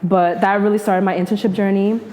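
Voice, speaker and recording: A young woman with a New Jersey accent chats loosely as an interview guest. Her talk is slangy and easygoing, packed with "like" and quick restarts, at a middling pitch. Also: low-quality recording. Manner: relaxed slangy talk, many likes and restarts. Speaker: journalist and podcaster guest. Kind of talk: casual interview chat.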